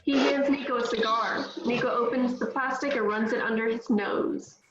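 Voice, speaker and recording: Woman narrating a script table read; slightly high voice, roomy sound. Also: fairly even intonation, moderate pace, muffled recording, background noise. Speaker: narrator reading stage directions.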